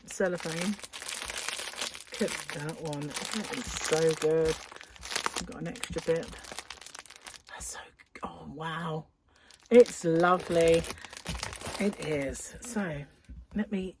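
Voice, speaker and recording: A woman chatting casually to followers as a craft vlogger during an unboxing, gushing and admiring with breathy asides. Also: fairly low pitch. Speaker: craft vlogger and yarn shop owner. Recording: clear background noise with crinkling wrappers. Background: crinkling cellophane, clicks and rustling.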